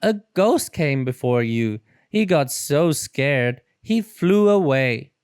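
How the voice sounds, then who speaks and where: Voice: medium pitch, lively intonation, takes it slowly, a little mellow, smooth, no echo, studio quality. A storybook reader voicing a kindly, playful grown-up male mole. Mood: explaining. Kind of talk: children's storybook character voice.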